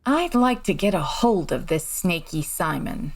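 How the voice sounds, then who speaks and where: Woman playing a poised, lively society detective. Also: lowish voice, unhurried, full character voice. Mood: inquisitive, composed. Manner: composed, polite, businesslike questioning. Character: poised, take-charge high-society lady detective of the 1930s-40s. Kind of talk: period radio-drama acting.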